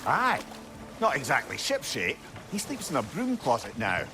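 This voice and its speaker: An actor playing a wordy, wry old sage and loyal companion in a video game. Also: male voice, mid-range voice, wildly swooping pitch, moderate pace, background noise. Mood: informative, genial. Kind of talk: video game character acting.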